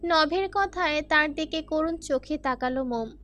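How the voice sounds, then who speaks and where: A woman's voice: high voice, moderate pace, pushed and bright. A woman narrator reading a scripted dramatic story. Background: faint music.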